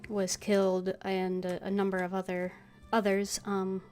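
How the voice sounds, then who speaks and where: A woman giving casual gameplay commentary, halting as she thinks aloud. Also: mid-range voice, monotone, darkish tone, faint music behind. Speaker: game streamer.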